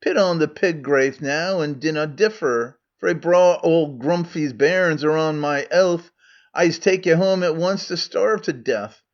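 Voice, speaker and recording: A crafty, down-at-heel trader with a broad Scottish accent coaxes and blusters, as a man puts him on. The voice sits high and bounces with eager hawking. It is heard through a dull, muffled recording. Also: a little slow. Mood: wheedling. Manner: broad dialect, coaxing and blustering. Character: crafty poor male trader, older, wheedling.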